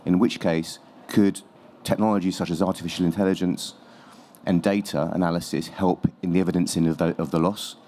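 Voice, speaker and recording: Man, low voice, hesitant, long-winded, audience question. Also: fairly even intonation, fairly quick, warm tone, slight background noise. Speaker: NGO representative asking a question. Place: conference pavilion.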